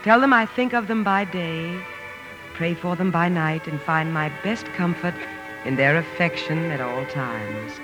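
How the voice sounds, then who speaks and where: An actress playing a loving, composed mother in a period drama, tender and measured. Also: on the low side, music in the background, close to an everyday voice, low-quality recording. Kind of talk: period radio drama acting.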